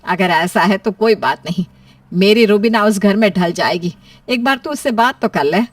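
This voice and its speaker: A woman's voice: medium pitch, lively intonation, moderate pace, no echo, natural voice. A woman playing an anxious, scolding middle-aged mother in story dialogue, fretful and complaining. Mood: concerned.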